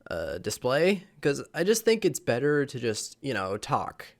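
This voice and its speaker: A man's voice: medium pitch, very animated, measured pace. A young man, a little sick, casually reading online posts to the camera with lots of fillers and chuckles. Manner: rambling, chuckling, many fillers. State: slightly sick. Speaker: channel host reading posts. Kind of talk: casual vlog reading aloud. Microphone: camera mic.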